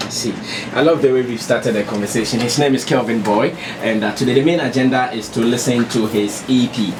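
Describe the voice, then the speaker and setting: A male radio host leads an interview in an encouraging way, backing his guest with soft mm-hmms. His voice sits at an ordinary male pitch. He sounds set back from the mic, with clear studio noise around him. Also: steady tone, brisk, far from the mic.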